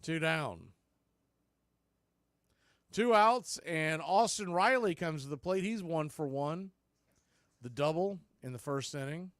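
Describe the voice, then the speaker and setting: A man calling baseball play-by-play as a radio announcer, in clipped, folksy phrases that range from quiet to excited. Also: on the high side, takes it slowly, long pauses, no room sound, clean studio sound. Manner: swings from hushed calls to excited shouts. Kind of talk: live radio play-by-play commentary.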